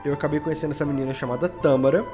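A man's voice: fairly high pitch, fairly even intonation, very fast. A man from Belo Horizonte with a Minas Gerais Brazilian accent, chatting casually as a podcast guest and telling anecdotes in slangy speech. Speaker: guest, audio drama podcast creator. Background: background music.

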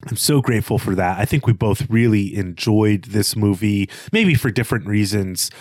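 A man's voice: on the low side, normal speed, darkish tone, no room sound, studio quality. A man co-hosting a podcast, discussing casually with thoughtful, slightly hesitant phrasing. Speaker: podcast co-host.

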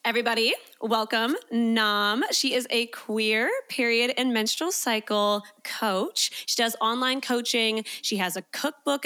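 A woman's voice: on the high side, sharp tone. A young woman hosting a podcast, talking in an enthusiastic, chatty way and laughing often. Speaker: podcast host. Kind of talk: upbeat podcast interview.

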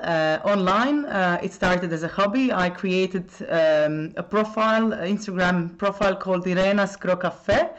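A Croatian woman, a language teacher who grew up speaking Croatian, answering interview questions in English with a Croatian accent and many hesitant fillers. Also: fairly low pitch. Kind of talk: podcast interview. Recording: dull lo-fi sound.

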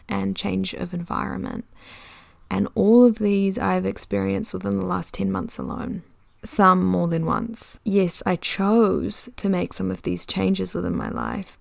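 A woman's voice: medium pitch, gravelly, a little mellow, no room sound, clean studio sound. A young woman with a New Zealand accent hosting a podcast in a chatty, self-deprecating, confessional monologue. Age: young adult. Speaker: podcast host.

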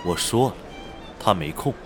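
A man's voice: low-pitched, animated, normal speed, over music. A man acting a haughty, hot-tempered, protective rich young man in a radio drama, scornful and sarcastic.

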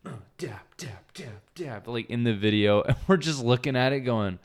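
A man's voice: mid-range voice, expressive, moderate pace. A man co-hosting a casual podcast, teasing his friends and arguing small points. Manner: teasing, argumentative. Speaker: podcast co-host. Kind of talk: casual chat among friends.

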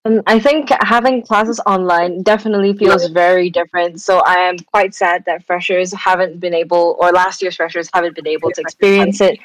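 Young woman from malaysia, student guest, lowish voice, says um a lot, dry sound. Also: fairly even intonation, fairly quick, few pauses, no room sound, low-quality recording. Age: young adult. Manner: reflective, with fillers like um and like. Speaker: guest, student society president. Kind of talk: casual podcast interview.

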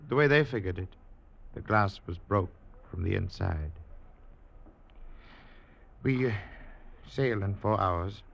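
Gruff, wary ship's captain. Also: male voice, deep voice, animated, slow pace, pauses often, a bit bright, slight background noise, exaggerated voice. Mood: uneasy, grave. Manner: clipped, grumbling sentences. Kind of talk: old-time radio drama acting. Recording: muffled vintage radio recording.

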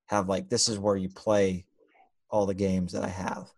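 A man in a casual chat among friends as a podcast co-host, talking haltingly and often repeating himself. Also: deep voice, flat delivery, a little mellow, dry recording.

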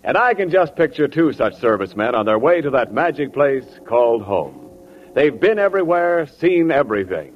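A man's voice: mid-range voice, very animated, moderate pace. An American man announcing in polished, emphatic 1940s radio style, reading a scripted advertisement. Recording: dull old 1940s radio recording.

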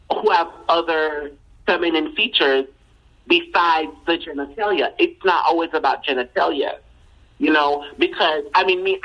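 A woman in casual conversation as a guest, who repeats her phrases and fills pauses with "you know.". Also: fairly low pitch, dull audio.

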